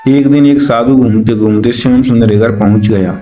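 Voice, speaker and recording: A man's voice: mid-range voice, fairly even intonation, fairly quick, dark tone, low-quality recording. A man narrating a scripted moral story for children as its storyteller.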